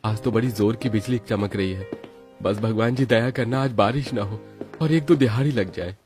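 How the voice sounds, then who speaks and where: A man's voice: fairly low pitch, normal speed, over music, lightly acted. A man voicing a poor, worried labourer and father in a cartoon moral story, plaintive and earnest. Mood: worried, hopeful. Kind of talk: Hindi animated moral story acting.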